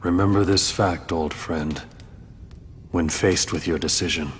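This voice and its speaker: A stoic immortal man with a very deep voice and slow, weighty delivery. He is terse, blunt and serious, in the style of a fantasy game drama. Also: fairly even intonation. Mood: firm, serious. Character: stoic immortal man, blunt, guarded old warrior friend. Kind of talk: dramatic game cutscene acting. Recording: long hall reverb, low rumble.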